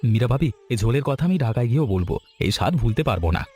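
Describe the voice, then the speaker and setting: A man voicing an upright, firm but grateful government project director in a cartoon story, speaking in a formal, measured way. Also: mid-range voice, animated, warm tone, husky, soft background music. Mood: grateful, friendly. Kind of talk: Bengali cartoon story voice acting.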